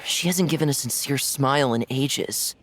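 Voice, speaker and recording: A woman's voice: extremely low pitch, moderate pace, a little hiss or hum. A woman voicing a young boy who is an imaginary friend, earnest and impulsive. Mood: sad. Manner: earnest and pleading. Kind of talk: video game voice acting.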